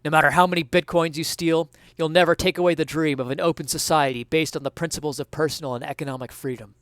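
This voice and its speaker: Give the voice of a self-righteous hardboiled police detective as a man reads him aloud. He is raised in pitch, forceful and declamatory, barking earnestly in a parody crime story. The sound is close and dry. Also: fairly even intonation, fast, no room sound, lightly acted. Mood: solemn. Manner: earnest, declamatory, shouting commands.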